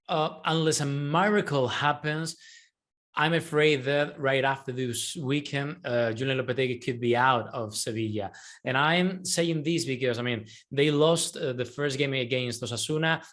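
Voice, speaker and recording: Halting, passionate man football pundit. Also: medium pitch. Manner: hesitant, many restarts, animated. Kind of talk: casual football analysis.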